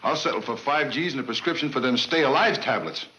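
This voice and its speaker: An actor playing a brash gangster underling with slangy patter, in 1940s film serial style. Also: male voice, mid-range voice, a little fast, bright, forceful tone, close to an everyday voice, low-quality recording.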